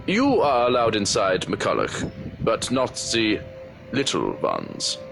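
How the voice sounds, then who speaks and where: A man voicing a fussy, jovial cook in a visual novel, with an animated, theatrical delivery. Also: fairly low pitch, slow, gravelly, over music, full character voice, poor audio. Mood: playfully stern. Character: fussy, jovial male cook ruling his kitchen. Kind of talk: visual novel character acting.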